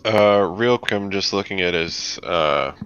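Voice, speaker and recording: A man's voice: low-pitched, unhurried, fairly bright tone, clean tone. A man playing a tabletop roleplaying game, talking casually and thinking aloud in a hesitant way. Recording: dull, lo-fi mic with faint noise.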